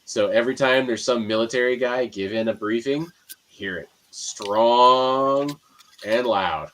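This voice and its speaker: A man's voice: medium pitch, a little slow, a bit bright. A man from Canada with a Canadian accent, chatting casually and joking, chuckling at his own remarks. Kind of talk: casual chat.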